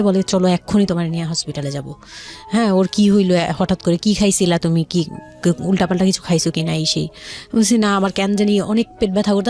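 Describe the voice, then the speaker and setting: A woman's voice: fairly low pitch, a little mellow. A woman with a Bangladeshi accent telling her personal story as an interview guest, colloquially, often repeating and rephrasing herself.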